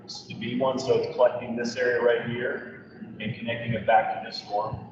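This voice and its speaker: A man's voice: medium pitch, little pitch movement, brisk. A man presenting engineering plans at a public meeting, explaining step by step. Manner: plain, methodical explaining. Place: large meeting room. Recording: echoey hall, far from mic, dull, background noise.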